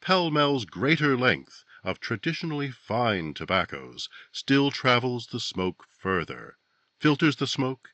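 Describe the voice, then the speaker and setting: A man playing a grave, brooding narrator of a 1950s true-crime radio drama, speaking in a dramatic, deliberate way. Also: medium pitch, sing-song, bright, forceful tone, no echo, dull audio. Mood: emphatic, selling.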